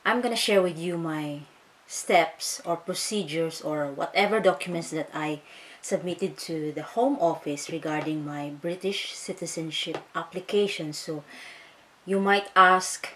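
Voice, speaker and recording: Chatty woman vlogger, lowish voice. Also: a bit bright. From Philippines. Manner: chatty, addresses viewers as guys, frequent okay and so. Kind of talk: casual tutorial vlog.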